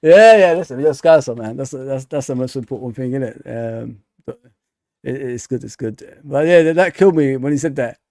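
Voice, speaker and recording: A man's voice: medium pitch, normal speed, dark tone, dry recording, studio quality. A man hosting a casual fan livestream, laughing often and reading viewer comments aloud.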